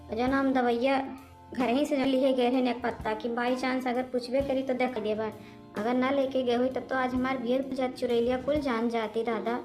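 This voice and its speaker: A woman's voice: high voice, natural voice. A woman voicing a timid, sly old village mother-in-law in a cartoon comedy, rambling and placating with an Awadhi accent. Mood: muttering, relieved. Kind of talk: amateur cartoon comedy voice acting. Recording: music behind the voice.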